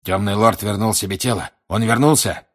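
A man acts out a grim older male wizard for an audiobook. The voice is low and husky, with deliberate, probing questions and an insistent menace. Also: a bit bright. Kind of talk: audiobook character acting.

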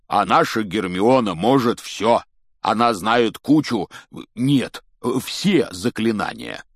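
A man voicing a big, good-natured giant groundskeeper for a storybook, with a gruff, folksy, blunt way of talking. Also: lowish voice, takes it slowly, no room sound, clean studio sound. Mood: proud. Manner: hesitant, folksy, teasing, blunt.

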